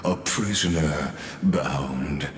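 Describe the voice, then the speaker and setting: An actor playing a cold, theatrical mastermind orator in a video game, declaiming ceremoniously and ominously. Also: male voice, very deep voice, extremely slow, noisy background, full character voice. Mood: solemn, ceremonious. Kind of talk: dramatic video game voice acting. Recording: echoey hall reverb under music.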